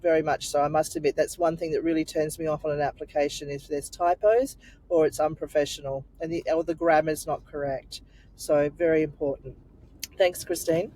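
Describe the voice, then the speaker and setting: Brisk, blunt woman listing tips. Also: low-pitched, fairly even intonation, mellow, poor audio. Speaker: recruitment guest. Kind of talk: giving career advice on a webinar.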